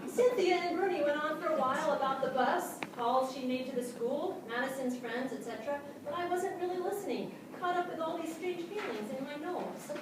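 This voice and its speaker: A woman in a staged reading narrating as a naive, earnest dog, with expressive comic timing. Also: high voice, a little fast, hardly any pauses, crowd noise, lightly acted. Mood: earnest, droll. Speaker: narrator in reader's theatre. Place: small theatre stage before an audience. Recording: very echoey theatre, distant, noisy.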